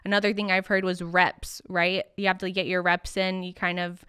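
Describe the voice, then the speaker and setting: A woman's voice: lowish voice, little pitch movement, moderate pace, sharp tone, clean tone, dry recording, studio quality. A young woman hosting a podcast interview in an upbeat, enthusiastic manner. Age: young adult. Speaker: podcast host.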